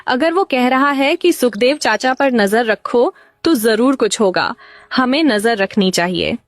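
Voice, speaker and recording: A woman's voice: a little high, lively intonation, measured pace, no echo. A woman playing a sensible, suspicious village wife in a cartoon story, earnest and urging. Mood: thoughtful, suspicious.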